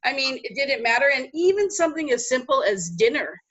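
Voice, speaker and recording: A woman's voice: sits high, expressive, a little fast, a bit bright, muffled recording. A woman aged sixty casually recounting her experience as a participant. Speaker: trip participant giving a testimonial. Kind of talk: casual personal recollection.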